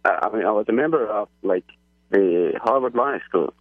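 A man from Iran calls in over a tinny phone line, his voice a little husky. He talks eagerly but haltingly, restarting his sentences as he explains. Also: fairly low pitch, animated, no echo. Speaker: caller. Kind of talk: phone-in call. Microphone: telephone.

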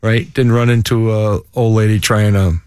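A man's voice: on the low side, flat delivery, normal speed, a little mellow, smooth, no echo, clean studio sound. A man co-hosting a talk radio show, joking his way through crime news with rhetorical asides. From Ireland. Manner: wisecracking, rhetorical "right?" and "okay?".

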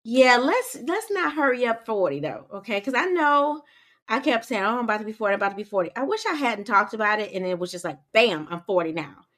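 Chatty woman in her forties, lively pitch. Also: a little fast. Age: 40s. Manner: chatty, emphatic, addresses listeners as y'all. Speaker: podcast co-host. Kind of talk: casual chat.

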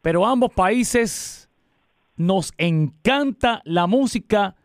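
Locutor puertorriqueño de voz aguda, sonido seco de estudio, tono animado. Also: male voice, sits high, dry recording, studio quality. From Puerto Rico. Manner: lively announcer delivery, many fillers when chatting. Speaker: radio host. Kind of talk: live radio interview.

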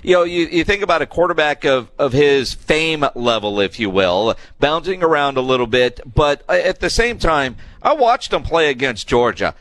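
A man's voice: fairly high pitch, a bit bright, no room sound. A man hosting a sports radio show in a conversational style, recorded in a studio.

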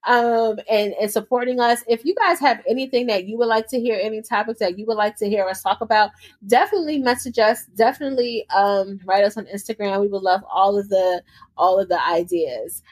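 A woman's voice: mid-range voice, fairly quick. A woman co-hosting a podcast, chatting in a playful, teasing way and cursing freely. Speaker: podcast co-host. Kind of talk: casual chat.

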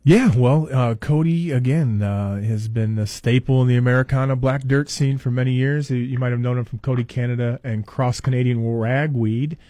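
A man's voice: medium pitch, fairly even intonation, moderate pace, few pauses, dark tone, dry recording. A man who books concerts, chatting loosely in the studio and promoting shows. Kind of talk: casual radio chat.

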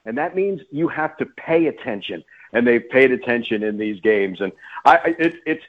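A man's voice: mid-range voice, lively intonation, normal speed. A man speaking as a radio guest and play-by-play announcer, giving emphatic sports commentary and repeating phrases for stress. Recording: phone-like narrow-band radio line.

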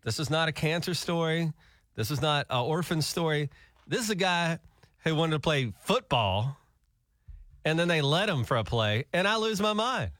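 A grown man co-hosting a morning radio show, easygoing in banter and contest plugs, his voice sounding rough and worn. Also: on the high side, expressive, moderate pace, a bit bright, no room sound, clean studio sound. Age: adult. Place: radio studio. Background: music bed.

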